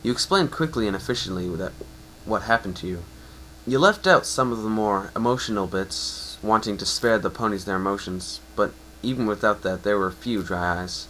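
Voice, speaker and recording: Low-voiced man narrating a story. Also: moderate pace, bright, forceful tone, a little hiss or hum, rough recording. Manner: stumbles and rereads phrases. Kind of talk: dramatic reading of fan fiction, second-person narration.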